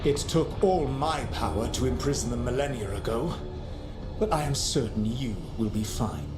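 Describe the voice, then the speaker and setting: Guy doing haughty old elf god from a fantasy game, formal and exact, echoey w loud music behind. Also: mid-range voice, natural voice. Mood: dry, ominous. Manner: formal, deliberate, haughty and dryly precise. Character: ancient rebel elven god, proud, measured trickster. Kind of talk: cinematic fantasy game dialogue.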